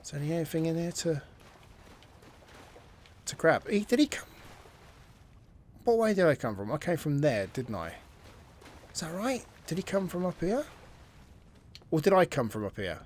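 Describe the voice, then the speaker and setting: A man's voice: fairly high pitch, very animated, normal speed, pauses often, a little hiss or hum. A man giving casual let's-play commentary, musing and thinking aloud, sometimes dropping to a near-whisper. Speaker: gameplay commentator.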